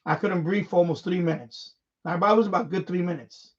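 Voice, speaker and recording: A man with a notably high-pitched voice chats casually as a live-stream host. He talks off the cuff in a halting way, circling back to repeat words and phrases. The sound is somewhat dull and lo-fi.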